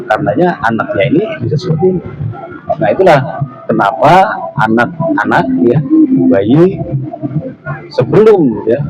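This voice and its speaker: A man giving a casual Islamic study talk, explaining step by step and ending phrases with small tag words. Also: fairly high pitch. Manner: explanatory, repeats "ya" and "gitu" tags. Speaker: ustaz answering audience question. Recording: lo-fi with noticeable noise.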